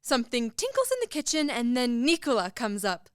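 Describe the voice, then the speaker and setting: A high, very animated society matron, played by a woman, affected and fussy in a radio play. She gushes and chides with genteel ambition, in a dry studio sound. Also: brisk, clear, resonant tone, no echo. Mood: chiding, genteel. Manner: affected, gushing, chiding. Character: fussy, genteel, socially ambitious middle-aged wife and mother.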